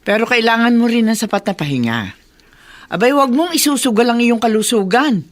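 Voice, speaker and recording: A woman's voice: on the high side, animated, brisk, natural voice. A woman playing a fretful, caring middle-aged aunt in a radio drama. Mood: worried, chiding. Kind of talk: Tagalog radio drama acting.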